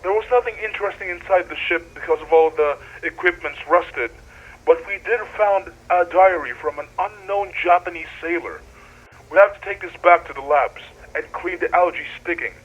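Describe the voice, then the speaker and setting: A man playing an earnest, wonderstruck deep-sea researcher speaking slightly formally into a recorded log for an analog horror drama. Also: normal speed, a bit bright, husky, highs cut off. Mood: amazed, excited. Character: excitable male deep-sea researcher keeping an audio log.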